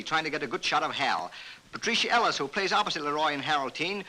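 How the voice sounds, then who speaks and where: A man's voice: fairly high pitch, bright, forceful tone, low-quality recording. An American man narrating a 1930s newsreel from a script in a jaunty, wisecracking manner, on a vintage soundtrack.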